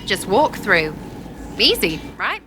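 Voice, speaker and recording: A brash young elf woman from a fantasy game, with an English accent. She is irreverent, slangy and mocking, at a middle pitch. Clear noise sits behind her on the stream audio. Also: wildly swooping pitch, slow. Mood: cheeky. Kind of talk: video game character acting.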